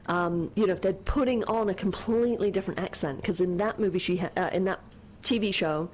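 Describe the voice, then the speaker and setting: A woman's voice: mid-range voice, a little mellow, a little hiss or hum, poor audio. A young woman co-hosting a game stream, chatting casually and reading out viewer messages with lots of laughs and fillers. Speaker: streamer co-host. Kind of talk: casual let's-play chat, reading chat messages.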